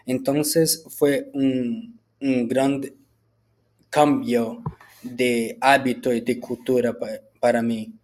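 A man from Brazil, a student whose first language is Portuguese, speaks Spanish with a Brazilian Portuguese accent as he answers set questions for a school assignment, often restarting words and filling pauses with "ah". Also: medium pitch, level tone, a little mellow. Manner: halting, with frequent restarts and filler "ah".

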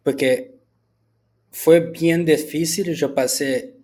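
A man from Brazil, a student whose first language is Portuguese, speaks Spanish with a Brazilian Portuguese accent as he answers set questions for a school assignment, often restarting words and filling pauses with "ah". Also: fairly high pitch, fairly even intonation, mellow. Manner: halting, with frequent restarts and filler "ah".

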